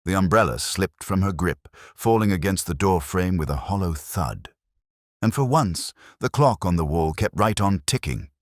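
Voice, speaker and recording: A man's voice: sits low, expressive, normal speed, dry recording, clean studio sound. A man narrating a scripted first-person detective story in a wry, hardboiled storytelling manner. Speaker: audiobook narrator.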